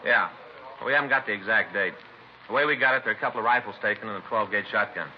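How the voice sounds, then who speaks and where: An actor playing a businesslike American police detective partner in a 1950s radio crime drama, with casual, terse delivery. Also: male voice, lowish voice, fast talker, close to an everyday voice. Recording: muffled, faintly noisy old radio recording.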